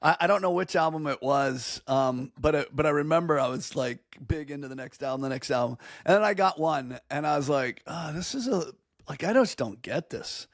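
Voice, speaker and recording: A man's voice: fairly high pitch, lively intonation, a little fast, no echo, studio quality. A man hosting a podcast, chatting casually with enthusiasm and easy laughter. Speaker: podcast host. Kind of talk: casual chat.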